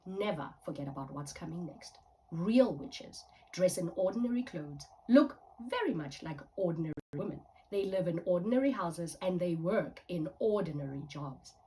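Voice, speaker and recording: A woman's voice: fairly low pitch, expressive, moderate pace, mellow, poor audio. A woman who writes children's books, reading a story aloud with dramatic storytelling delivery. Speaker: children's author.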